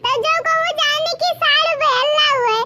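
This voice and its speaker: An extremely high, squeaky, childlike cartoon village woman with a rustic Awadhi accent. She chatters and gossips in a giggly comic way, drawing out her exclamations. The home recording is clearly noisy. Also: measured pace. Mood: gossipy. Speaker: cartoon voice performer. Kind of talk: rustic comedy cartoon dialogue.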